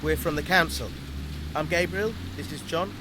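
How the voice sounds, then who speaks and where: Actor as brisk, practical council officer, noisy background. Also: male voice, a little high, natural voice. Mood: polite, businesslike. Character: brisk, practical, experienced male council house-clearance officer. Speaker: actor in an audio play. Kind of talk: naturalistic audio drama dialogue. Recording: background noise with ambience.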